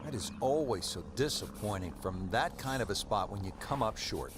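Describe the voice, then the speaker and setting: A man giving calm, measured play-by-play commentary on golf in a video game. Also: lowish voice, noisy background. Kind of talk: sports commentary.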